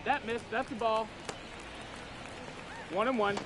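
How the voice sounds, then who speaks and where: A brisk baseball umpire from a video game barks out balls and strikes in short, clipped calls. His voice sits very high. The calls come through as background game audio under a loud hiss. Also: steady tone. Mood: calling pitches. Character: brisk male baseball umpire calling pitches.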